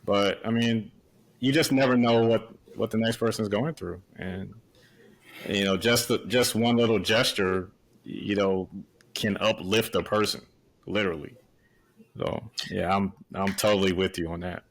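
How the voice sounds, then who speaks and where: A man hosting a casual podcast, relaxed and affirming, often answering his guest with short agreements. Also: on the low side, unhurried, creaky. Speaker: podcast host.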